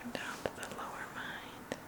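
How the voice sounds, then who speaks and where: A woman giving a whispered ASMR reiki session as a practitioner, murmuring soothing short phrases with pauses between them.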